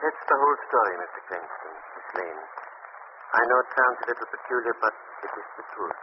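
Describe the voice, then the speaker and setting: An actor playing an earnest, slightly hesitant zoo curator in an old radio drama. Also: male voice, on the low side, animated, fairly quick, lightly acted. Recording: muffled old radio broadcast with hiss.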